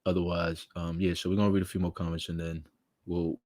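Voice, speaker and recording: A raspy, low-voiced man hosts a live stream in a dry, close-miked sound. He talks loosely in street slang and thanks his viewers one by one. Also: level tone, fast, a little mellow, no echo. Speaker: live show host. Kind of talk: casual livestream chat.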